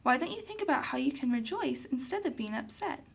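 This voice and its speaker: A woman plays a puppet mother with a high voice and a marked creak in it. She is patient yet firm, admonishing and instructing in the manner of a children's puppet show. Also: very animated, brisk, heavily acted. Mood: firm, instructive.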